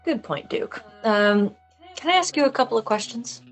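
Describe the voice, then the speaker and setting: A woman's voice: on the high side, animated, normal speed, soft background music. A woman playing a guarded, dry-witted police officer in a film script table read. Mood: businesslike, casual.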